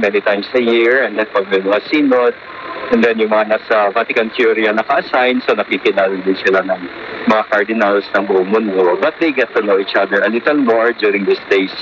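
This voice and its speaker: A man with a Filipino accent explaining things conversationally as a guest on radio, moving between Tagalog and English. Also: on the low side. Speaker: priest, studio guest. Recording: muffled AM radio capture, faint noise.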